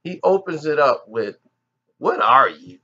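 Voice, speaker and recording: A man's voice: on the high side, big pitch swings, a little slow, pushed and bright, slightly rough, lo-fi sound. A man giving indignant, emphatic commentary on an interview clip. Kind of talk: reaction commentary.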